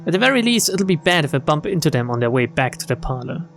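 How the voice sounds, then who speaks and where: A man's voice: on the high side, sing-song, fast talker, vocal fry, fairly bright tone, soft background music. A man streaming a game, reading its narration aloud expressively with wry, casual commentary. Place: game stream with music behind.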